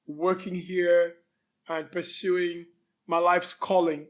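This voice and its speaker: Cameroonian man, fairly high voice. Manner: relaxed storytelling, frequent pauses with um. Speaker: guest. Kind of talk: conversational interview.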